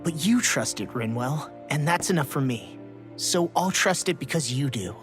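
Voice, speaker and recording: A man's voice: fairly high pitch, animated, moderate pace, fairly bright tone. A man playing a sincere, loyal and slightly bashful young fighter in a video game cutscene. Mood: sincere, resolute. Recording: music behind the voice. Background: music.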